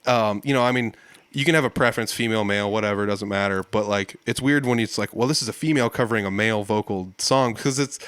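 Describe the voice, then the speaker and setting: A man's voice: on the low side, fast, fairly bright tone, clear, resonant tone, no room sound. A man hosting a podcast, chatting in an easygoing, self-deprecating way. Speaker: podcast host. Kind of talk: casual chat.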